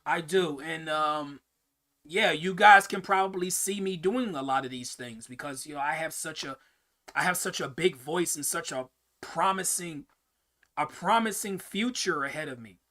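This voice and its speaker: Bright-voiced young man streaming a Q&A. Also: fairly high pitch, moderate pace. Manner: rambling, repeats words and restarts often. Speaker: streamer answering viewer questions. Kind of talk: casual live-stream Q&A.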